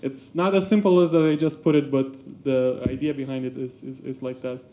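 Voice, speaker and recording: A man giving a seminar presentation for a basketball club, halting with many fillers and restarts. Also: medium pitch, steady tone, mellow.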